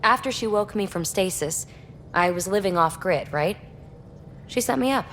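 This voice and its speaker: A woman acting a brash, candid young rogue explorer in a video game, talking in a snarky, animated and colloquial way. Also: mid-range voice, normal speed, slight background noise. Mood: wistful.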